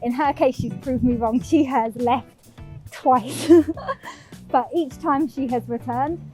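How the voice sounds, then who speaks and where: A woman's voice: high-pitched, slow pace, dark tone, faint music behind. A British woman hosting a casual vlog, upbeat and laughing as she questions a friend. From Britain. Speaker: vlogger host.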